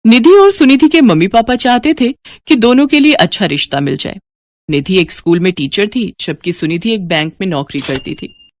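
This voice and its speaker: A woman's voice: medium pitch, lively intonation, normal speed. A woman narrating a scripted moral story as a storyteller.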